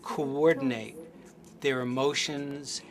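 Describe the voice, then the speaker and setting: Male researcher, measured explanatory tone, mid pitch, audible crowd in background. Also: steady tone. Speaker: developmental researcher. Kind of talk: documentary explanation. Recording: clip with crowd noise behind.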